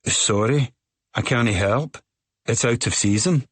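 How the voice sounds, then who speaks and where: A man's voice: mid-range voice, a little slow, breathy edge, no room sound, voice pushed up. A man voicing a tall, weathered, middle-aged Scottish ferry woman who speaks in a curt, matter-of-fact way. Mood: brusque.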